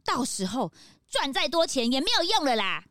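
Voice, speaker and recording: A woman's voice: high-pitched, sing-song, moderate pace, fairly bright tone, no room sound, clean studio sound. A woman playing a naive, eager little detective's sidekick in a children's audio drama. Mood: eager, apologetic.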